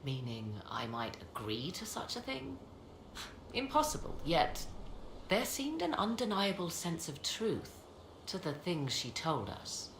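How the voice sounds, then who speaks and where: A woman voicing a proud, guarded sorceress in a video game, with formal, deliberate, theatrical phrasing. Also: lowish voice, big pitch swings. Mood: uncertain, reflective. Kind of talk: dramatic video game dialogue. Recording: echoey, noisy game scene capture.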